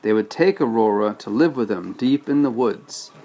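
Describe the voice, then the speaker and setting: A man's voice: fairly low pitch, animated, normal speed, warm tone, muffled recording. A man reading a storybook aloud as a father, patiently breaking off to explain things casually to a child.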